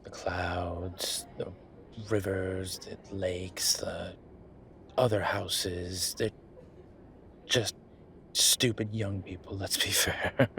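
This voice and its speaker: A man playing a wry, laid-back incubus demon professor in a roleplay audio drama, talking with breathy pauses and trailing sentences. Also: low-pitched, flat delivery, fairly bright tone, a little hiss or hum, exaggerated voice. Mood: amused, teasing. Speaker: voice actor. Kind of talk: roleplay audio drama, one side of a conversation.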